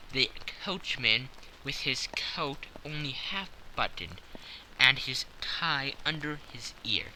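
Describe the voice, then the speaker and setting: A man's voice: mid-range voice, pushed and bright, a little hiss or hum, poor audio. A man narrating a classic story as a scripted, dramatic audiobook.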